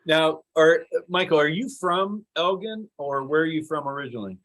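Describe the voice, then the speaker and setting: Relaxed male podcast host. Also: fairly high pitch, normal speed. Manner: relaxed and conversational, with fillers and restarts. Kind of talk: casual podcast chat.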